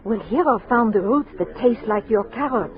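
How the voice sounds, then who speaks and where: An actress playing a gentle, earnest young Native woman guide in a radio drama, speaking in simple, careful, formal phrases. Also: fairly high pitch, expressive, moderate pace, natural voice. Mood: polite, curious. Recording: muffled old radio recording.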